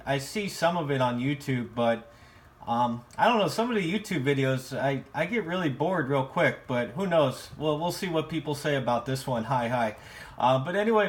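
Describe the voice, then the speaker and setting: American man, medium pitch, casual amateur radio chat, hesitant repetitive phrasing. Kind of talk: casual ham radio conversation.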